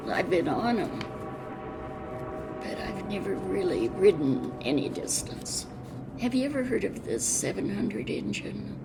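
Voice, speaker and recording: Really old american lady remembering the past, creaky slow halting voice, noisy recording. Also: mid-range voice. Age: 80s or older. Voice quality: aged, creaky voice. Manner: halting, plain-spoken, trailing phrases. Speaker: retired railroad worker. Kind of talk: oral history reminiscence.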